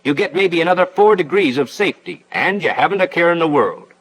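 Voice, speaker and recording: An actor playing a breezy instructor, narrating a 1940s pilot training film in a folksy, chummy way. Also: male voice, on the high side, lively intonation, quick pace, a bit bright, slightly rough, rough recording. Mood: folksy, playful. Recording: 1940s film soundtrack with faint noise.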